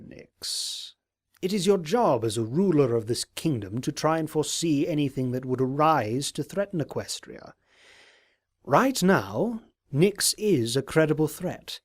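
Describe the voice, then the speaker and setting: A man voicing a scheming, falsely sympathetic unicorn advisor in an audio drama, speaking in a formal, deliberate, courtly manner. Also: on the high side. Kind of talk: dramatized audio fiction reading.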